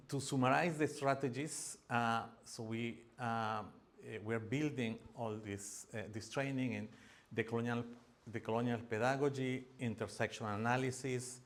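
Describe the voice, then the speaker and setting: Middle-aged man, Peruvian accent, slightly low voice, halting academic seminar delivery with frequent fillers. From Peru. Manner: hesitant, many fillers and restarts. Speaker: academic presenter. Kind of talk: seminar presentation.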